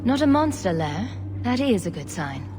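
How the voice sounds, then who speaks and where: Dry, sarcastic heroine, lively intonation. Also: female voice, mid-range voice, moderate pace, over music. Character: dry-witted, sceptical heroine, adult woman adventurer. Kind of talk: video game character acting.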